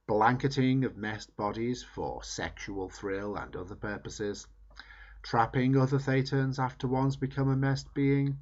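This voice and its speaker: A man reading a book aloud in measured, emphatic phrases that trail off at the ends of sentences. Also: fairly low pitch, darkish tone, muffled recording. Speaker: reader.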